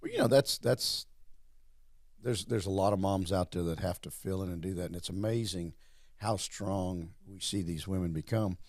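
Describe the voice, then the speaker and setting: A man's voice: sits low, moderate pace, no room sound, clean studio sound. A man hosting a casual interview, rambling and repeating words in a friendly way. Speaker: host.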